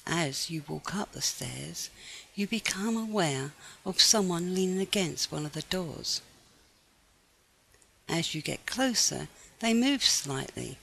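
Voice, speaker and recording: A woman narrates a scripted guided meditation with a noticeably creaky edge to her voice. Her phrasing is measured and gently instructive. Faint to moderate background noise sits under the recording. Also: on the low side, poor audio.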